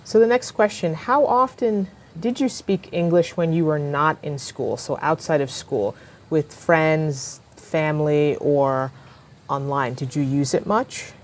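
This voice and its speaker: A woman hosting an interview as an English teacher, asking questions and giving viewers clear, encouraging advice. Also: deep voice, unhurried. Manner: encouraging, warm backchannels, clear teacherly delivery. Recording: faint background noise.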